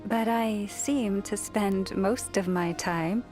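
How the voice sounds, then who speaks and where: Game casting: actress as a kind, serene priestess who guards nature; soft, soothing, reverent delivery at a slow pace, mid-range female voice. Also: medium pitch, soft background music, natural voice. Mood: calm, reflective. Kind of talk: scripted video game character voice lines.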